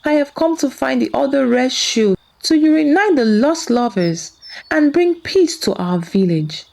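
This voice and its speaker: A woman's voice: high voice, lively intonation, unhurried, creaky, darkish tone, heavily acted. A woman voicing an earnest, respectful young village boy in a folktale.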